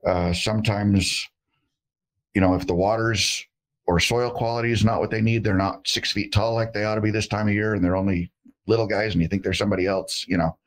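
A man's voice: lowish voice, fairly even intonation, brisk. A middle-aged man, an herbalist guest on a video call, talking in a folksy, joking, rambling way with many fillers. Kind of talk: casual interview talk. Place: indoor room with shelves of jars.

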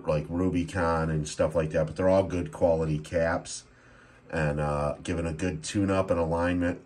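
A middle-aged man with a low-pitched voice walks viewers through a piece of gear in a casual seller's demo. He is recorded indoors on a handheld phone, with clear background noise. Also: a little mellow. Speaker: radio seller and restorer demonstrating a CB radio. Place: small indoor room at a workbench.

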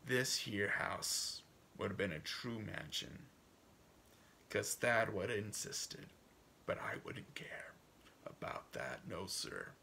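A man reading a story aloud as a proud, stubborn old farmer, folksy and musing, with a gravelly voice that drifts into hushed asides. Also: lowish voice, lively intonation, slow pace, in a whisper, pitched below the performer's own voice, full character voice. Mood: dreamy, wistful. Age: older. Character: proud, wistful, stubborn old farmer dreaming for his son. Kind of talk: dramatic audiobook reading in first person.

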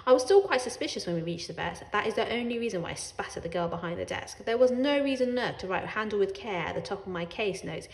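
A woman reading a children's story aloud in an expressive way, with dramatic emphasis on words. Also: mid-range voice, quick pace, few pauses, roomy sound.

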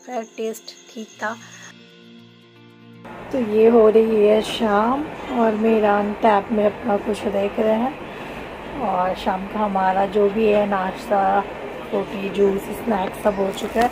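A chatty woman from Bokaro talks viewers through her train trip, recorded on a handheld phone. Her pitch sits in the middle and barely moves, giving an even, level delivery. Faint music plays underneath. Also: slow, poor audio. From Bokaro, Jharkhand, India. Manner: chatty, explains each step to viewers. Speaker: travel vlogger. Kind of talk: casual travel vlog narration. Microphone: phone camera held while filming.

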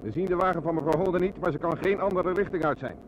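An actor playing a brisk, firm police sergeant on duty in a Dutch radio play. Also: male voice, fairly high pitch, highs cut off, lightly acted. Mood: brisk, businesslike. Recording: loud vehicle and water noise.

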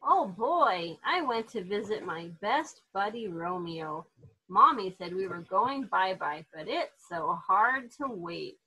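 A woman voicing an innocent, excitable young male dog in a children's storybook, wide-eyed and childlike. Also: mid-range voice, slow, full character voice, rough recording. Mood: excited, happy.

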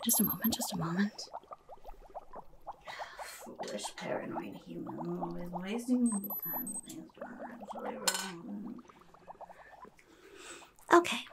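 A woman's voice: medium pitch, vocal fry, darkish tone, slight background noise. A young woman playing a rude, scatterbrained goblin nurse in an ASMR roleplay, half whispering, muttering and stammering impatiently. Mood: grudging. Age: young adult. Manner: muttering, stammering, impatient, talks in third person. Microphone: close microphone.

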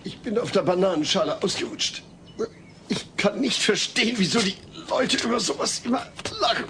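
A man's voice: sits high, lively intonation, moderate pace. An actor playing a mild-mannered, long-suffering suburban father in a sitcom audio drama, dry and sarcastic. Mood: annoyed. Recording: noisy cassette tape with clicks.

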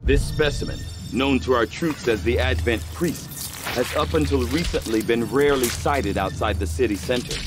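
An actor playing a studious military scientist, narrating clinical findings formally and pensively in a video game. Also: male voice, medium pitch, normal speed, music in the background, natural voice.

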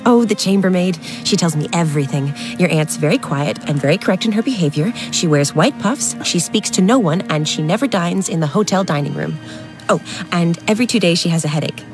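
A woman's voice: lowish voice, expressive, fairly quick, over music. A woman playing an artless young American socialite in a period radio drama, breezy, candid and rambling. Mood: breezy, chatty.

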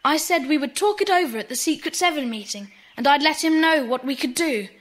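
An eager, polite British schoolboy speaks in a high voice. The delivery is earnest, in the manner of a children's audio play. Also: female voice, expressive, brisk, fairly bright tone. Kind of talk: children's audio drama acting.

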